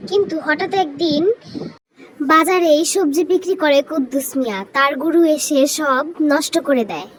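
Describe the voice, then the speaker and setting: A woman's voice: high voice, normal speed, a little hiss or hum, rough recording. A woman reading scripted narration for a children's cartoon story.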